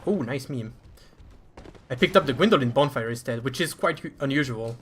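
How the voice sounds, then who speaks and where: A man's voice: mid-range voice, animated, brisk, a bit bright, a little hiss or hum. A man with a French accent commentating live over his own speedrun in a chatty, self-deprecating way.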